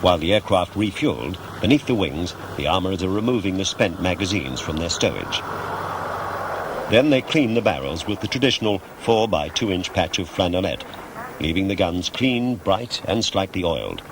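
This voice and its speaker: Low-voiced male documentary narrator. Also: lively intonation, normal speed, background noise. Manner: formal, precise, explanatory. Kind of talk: scripted narration, measured and factual.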